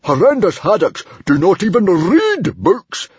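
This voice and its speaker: Huge storybook Viking chief, hot-tempered, very raspy bellow, wildly swooping high pitch, blustering, slightly muffled recording. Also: male voice, huge pitch swings, unhurried, no echo, full character voice. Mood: thundering indignation. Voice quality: harsh, rasping roar.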